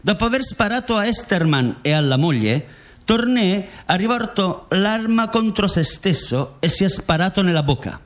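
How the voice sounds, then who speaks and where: A guard commander in a radio play. The voice is notably high for a male, and the delivery is formal, like an official statement. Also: expressive, normal speed. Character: dead guard commander recounting his killing, formal. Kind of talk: scripted radio drama monologue.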